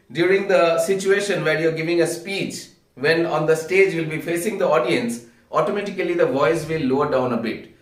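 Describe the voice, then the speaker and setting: Indian guy teaching public speaking, kinda high voice, earnest, sounds a bit far from the mic. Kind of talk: instructional talk to viewers. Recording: echoey room, distant microphone.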